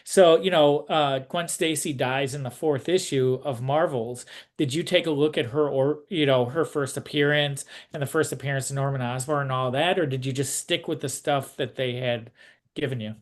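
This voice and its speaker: A man's voice: mid-range voice, normal speed. A man acting as interviewer, asking casual, detail-minded questions and giving brief acknowledgements.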